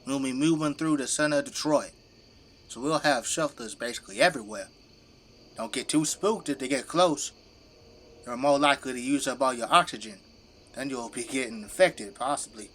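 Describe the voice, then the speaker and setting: A man's voice: medium pitch, moderate pace, a bit bright, close to an everyday voice. An actor playing a middle-aged, devoted father and plain-spoken government zombie fighter in an audio drama. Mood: stern, instructive. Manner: commanding, plain-spoken, folksy turns of phrase. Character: gruff, devoted father working as a zombie-burning government janitor.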